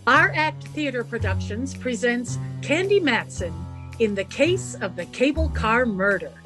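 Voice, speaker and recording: Lively, high-voiced woman radio announcer. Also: measured pace, over music. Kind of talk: 1950s radio scripted narration.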